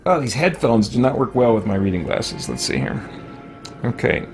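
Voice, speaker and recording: Animated man musing over game music. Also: mid-range voice, normal speed, gravelly, breathy edge. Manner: musing, thinking aloud. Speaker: gaming video host. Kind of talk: casual, reflective let's play commentary.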